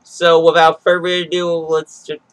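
A man's voice: a little high, monotone, moderate pace, clean tone. A young adult man giving casual reaction commentary with sarcastic, heckling asides, recorded on a webcam in a small room. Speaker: reaction video host.

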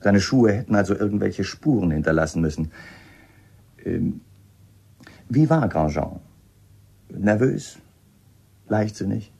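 A shrewd inspector in a radio play. His voice is deep and mellow with a gravelly grain. He is polite, a little hesitant and probing. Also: animated, a little slow, long pauses, exaggerated voice. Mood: probing, firm. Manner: hesitant, probing, matter-of-fact. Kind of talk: radio drama acting.

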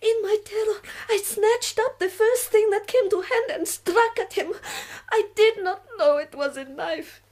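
An actress playing a proud, weary middle-aged revolutionary woman in a radio drama, speaking slowly and deliberately. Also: very high-pitched, darkish tone. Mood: anguished confession. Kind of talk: BBC radio drama acting.

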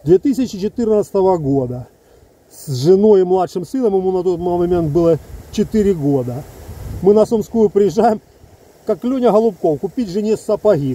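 Heated man in his fifties, husky voice. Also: sits high, dark tone, rough recording. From Ukraine. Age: 50s. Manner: heated, emphatic, rambling with asides. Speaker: soldier vlogger. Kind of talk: casual video monologue to camera. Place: outdoors among trees. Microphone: phone held close, selfie framing.

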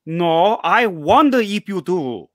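A man's voice: high-pitched, lively intonation, slow, slight background noise. An older man playing a stubborn Korean immigrant father who runs a shop, speaking blunt, broken English with a Korean accent over a headset on a video call. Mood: gruff. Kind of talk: live table read. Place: home room.

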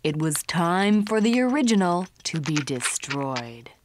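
An actress playing a prim, argumentative woman who tells her own story in wry, measured cartoon narration. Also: lowish voice, big pitch swings, noisy background. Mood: wry, assertive. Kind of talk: scripted first-person cartoon narration. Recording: music under the voice.

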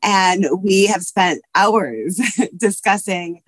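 A woman's voice: mid-range voice, extremely slow, no echo. A woman in her thirties, a history professor, giving prepared remarks on a video call from her office. Her voice is scratchy from allergies, and she talks earnestly with frequent fillers. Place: office with bookshelves. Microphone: webcam video call.

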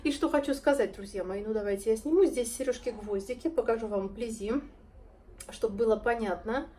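A woman in her thirties speaks close to the camera with a hoarse voice from a cold. Her tone is warm and mellow, and she keeps admiring the pieces as she calls her viewers friends. A faint shop hum sits behind her. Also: fairly high pitch, fairly quick. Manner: admiring, chatty, addresses viewers as girls and friends. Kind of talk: casual sales presentation to camera. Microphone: phone or camera close up, front-facing.

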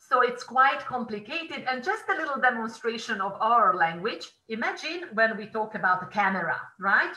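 A woman's voice: mid-range voice, bright, forceful tone. Hungarian-accented woman guide, echoey and distant. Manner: explanatory, frequent "uh" pauses, addresses viewers directly. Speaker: tour guide. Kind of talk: guided virtual tour presentation.

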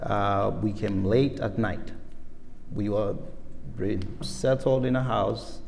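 A man talks on a panel, with a gravelly edge to his mid-range voice. He tells stories unhurriedly and laughs as he goes. His voice carries a long echo through a large auditorium, with a faint hum of the room behind it. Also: lowish voice, steady tone, a little mellow. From Somalia. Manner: storytelling, unhurried, laughs while telling. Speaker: panelist, farm collective member. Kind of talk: onstage panel conversation. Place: museum auditorium stage, indoors, large. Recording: echoey auditorium with faint ambience.

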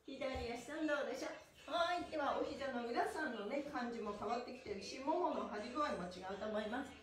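A woman's voice: high voice, brisk, a crowd in the background. A middle-aged woman leading a live stretching class, cheerful and encouraging as she chats with viewers. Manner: cheerful, smiling and laughing often, chanting encouragement. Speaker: stretching instructor and livestream host. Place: small indoor room with bare walls. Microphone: camera or phone a few steps away. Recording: roomy echo, recorded at some distance.

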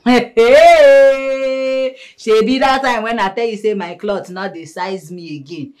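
Animated, sassy Nigerian wife, played by a woman. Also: mid-range voice, moderate pace, natural voice. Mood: scolding. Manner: emphatic, playful, repetitive. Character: sassy, teasing wife bossing her man. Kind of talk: film acting in Nigerian Pidgin.

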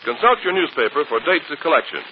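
A man's voice: a little high, very animated, fast. A man announcing in earnest, persuasive 1940s radio style, reading a scripted public appeal. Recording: dull old radio broadcast, hiss.

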